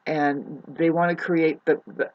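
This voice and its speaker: A woman with a husky voice talking casually in a meandering, halting monologue, often trailing off mid-thought. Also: sits low, steady tone, highs cut off. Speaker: vlogger. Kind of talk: rambling spoken monologue, casual chat.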